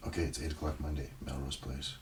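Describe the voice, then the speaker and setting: A soft-spoken man doing casual ASMR, muttering as if to himself and slipping into whispers, in a roleplay style. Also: level tone, quick pace. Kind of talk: ASMR, casual muttering while browsing TV listings. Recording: clear background noise, page rustling and clicks. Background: page flipping and highlighting sounds.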